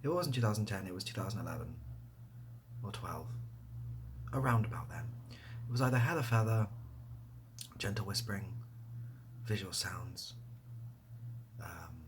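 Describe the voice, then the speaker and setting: A man speaking softly in a casual ASMR style, breathy and often dropping to a whisper, rambling hesitantly with a self-deprecating manner. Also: lowish voice, background noise. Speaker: ASMR video creator and host. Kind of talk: soft-spoken ASMR, casual question-and-answer to camera.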